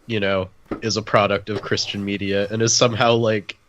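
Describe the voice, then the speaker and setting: A man chatting casually and jokingly about films as a podcast guest, in a halting, hesitant way. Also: on the low side, little pitch movement, a bit bright, highs cut off, a little hiss or hum. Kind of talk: casual joking film chat.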